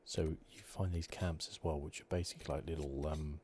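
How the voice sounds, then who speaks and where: A man giving relaxed, hushed ASMR commentary as he hosts a video game playthrough, speaking in an unhurried, hesitant way. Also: extremely low pitch, a little hiss or hum. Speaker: gaming channel host. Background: video game ambience.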